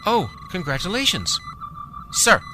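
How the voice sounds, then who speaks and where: A woman's voice: fairly low pitch, sing-song, unhurried, background noise. An actress playing a wary female Starfleet security chief, guarded and polite. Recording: music score behind.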